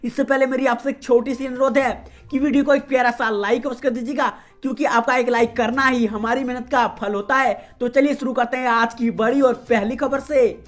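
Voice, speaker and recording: High-voiced woman reading regional news. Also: a little fast, few pauses. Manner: steady formal newsreader delivery. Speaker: news reader. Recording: faint music behind voice.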